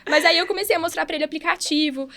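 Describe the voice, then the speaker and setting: A woman's voice: very high-pitched, pushed and bright. A woman doctor hosting a podcast, telling stories enthusiastically in a casual, chatty way with lots of fillers. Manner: enthusiastic, fast storytelling with many fillers. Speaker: podcast host, radiotherapy doctor and app founder.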